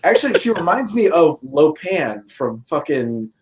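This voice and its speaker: A man chatting informally with wry humorous commentary and a slightly halting delivery. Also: a little high, big pitch swings, noisy background. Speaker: talk show co-discussant. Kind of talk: casual chat, humorous commentary.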